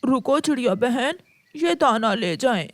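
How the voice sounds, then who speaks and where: A woman's voice: on the high side, lively intonation, measured pace, no room sound. A woman voicing a grieving, polite young male pigeon in a cartoon, earnest and plaintive. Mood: grateful, subdued.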